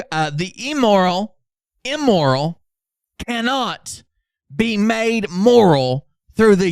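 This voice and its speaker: A man's voice: high voice, vocal fry, clear, resonant tone, no echo, clean studio sound, voice pushed up, exaggerated voice. A man doing an over-dramatic reading as a pompous, self-important doomsaying orator, grandiose and declamatory. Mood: righteous.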